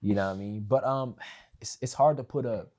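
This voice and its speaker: A man's voice: mid-range voice, a little fast, warm tone. A young man on a podcast, talking casually and rambling, with many fillers and restarts. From family of West Indian background. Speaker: podcast guest.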